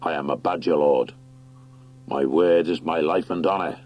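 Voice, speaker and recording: A man voicing a stern, noble badger warlord with a measured, commanding manner in an audiobook. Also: very deep voice, lo-fi sound. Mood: formal, resolute. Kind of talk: audiobook character acting.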